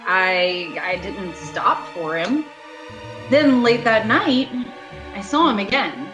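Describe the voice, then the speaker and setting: A woman acting a haunted traveller in her thirties in an amateur radio thriller, urgent and halting, repeating phrases for emphasis. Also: medium pitch, animated, poor audio. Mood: uneasy recounting. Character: haunted 36-year-old woman traveller, shaken but insisting she's sane. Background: music and rain.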